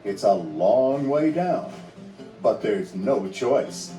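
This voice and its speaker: A man's voice: medium pitch, lively intonation, slow pace, warm tone. A man reading a children's read-along story in a dramatic, scripted storytelling manner. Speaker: narrator. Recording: roomy, distant sound under music.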